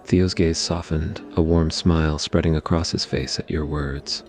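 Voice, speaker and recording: A man's voice: rumbling low, steady tone, normal speed, darkish tone, faint music behind. A man narrating a scripted romantic ASMR story.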